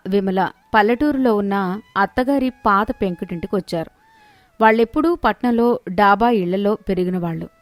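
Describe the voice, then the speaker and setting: A woman tells a scripted moral story. Her voice sits at a medium pitch, and the recording is dry and close. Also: dry recording. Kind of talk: scripted narration of a moral tale.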